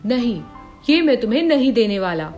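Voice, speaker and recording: A woman's voice: a little high, big pitch swings, moderate pace, a little mellow, soft background music. A woman voicing a cursed fairy trapped in a lion's form, firm and earnest, in a cartoon fairy tale. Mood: firm, pleading.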